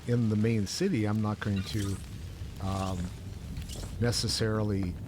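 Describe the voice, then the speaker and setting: A man's voice: lowish voice, very noisy. A man co-hosting a gaming show, chatting casually as he plays in a rambling, mildly exasperated way. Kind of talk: casual chat while gaming.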